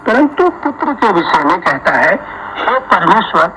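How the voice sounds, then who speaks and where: A man's voice: high voice, sing-song, measured pace. Man reading scripture on noisy radio. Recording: noisy shortwave radio reception.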